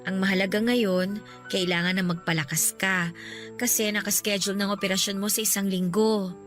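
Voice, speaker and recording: A woman's voice: medium pitch, little pitch movement, normal speed, faint music behind, close to an everyday voice. A woman playing a devoted, guilt-ridden young girlfriend, earnest and emotional, in a melodramatic radio drama. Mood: reassuring.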